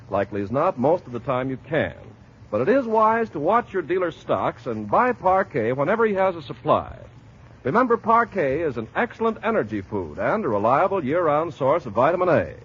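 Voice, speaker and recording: A man working as a polished, salesmanlike radio announcer, reading a scripted 1940s commercial. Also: a little high. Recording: muffled, husky-sounding 1940s radio recording.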